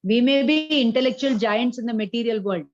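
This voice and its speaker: A woman with an Indian accent giving a spiritual class, explaining deliberately and checking that listeners follow. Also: fairly high pitch, no room sound, dull audio. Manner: didactic, deliberate, checks understanding with questions. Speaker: religious teacher.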